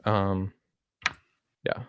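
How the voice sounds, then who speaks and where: A young man co-hosting a casual discussion, talking in a hesitant, halting way. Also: dry recording, muffled recording. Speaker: co-host of a reading discussion.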